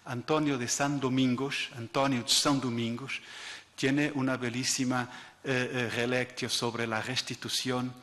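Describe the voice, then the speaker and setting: A man's voice: medium pitch, little pitch movement, a little slow, fairly bright tone. A Portuguese man, a non-native Spanish speaker with a Portuguese accent, giving an academic lecture with frequent hesitations and restarts. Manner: hesitant, many filler sounds and restarts. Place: lecture hall. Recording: reverberant lecture hall.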